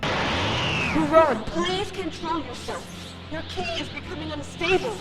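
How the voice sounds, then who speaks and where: A woman playing a concerned ally urging calm in a fan-made anime drama, her voice echoing over music. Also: high voice, takes it slowly, exaggerated voice. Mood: worried pleading. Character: concerned female ally urging self-control.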